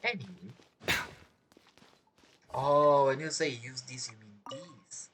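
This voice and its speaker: A man's voice: medium pitch, steady tone, takes it slowly, a bit bright, slight background noise. A man giving casual game commentary, musing aloud, repeating phrases and asking himself questions. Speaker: gamer narrating a playthrough. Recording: game music behind the voice.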